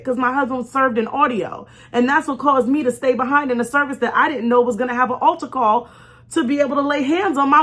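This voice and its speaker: A woman hosting an informal faith talk in an animated, conversational way, stressing and repeating key phrases and acting out imagined remarks. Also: high-pitched. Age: adult with young children. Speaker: host of a faith storytime show.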